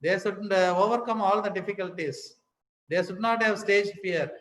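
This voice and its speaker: Emphatic Indian man in an echoey hall. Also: high voice. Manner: emphatic, repeats key words, many pauses. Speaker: academic speaker. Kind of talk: formal lecture.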